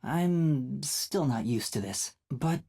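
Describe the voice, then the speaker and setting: A woman voicing a timid, bewildered boy trainee detective in a video game, with a light, youthful, slightly breathy voice and a hesitant, earnest manner. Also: extremely low pitch, animated, slow, warm tone, heavily acted. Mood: puzzled, uneasy.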